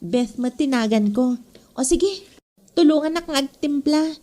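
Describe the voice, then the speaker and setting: Lively, mellow Ilocano office woman, played by an actress. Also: sits high, measured pace. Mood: curious, friendly. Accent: Filipino, Ilocano. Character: chatty young female office worker, friendly and curious. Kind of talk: Ilocano comedy drama podcast acting.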